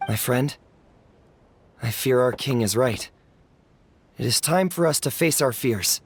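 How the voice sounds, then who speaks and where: Anxious, animated storybook townsperson. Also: medium pitch, unhurried, slight background noise. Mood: resigned. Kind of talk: animated game character acting.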